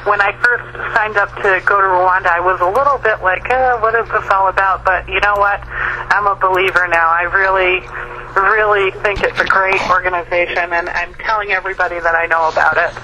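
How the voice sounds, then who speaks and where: A woman's voice: mid-range voice, steady tone, a little fast, bright, forceful tone. A woman calling in on a noisy telephone line, chatting casually in an appreciative, enthusiastic way. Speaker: caller, fundraising volunteer. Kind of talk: casual phone conversation.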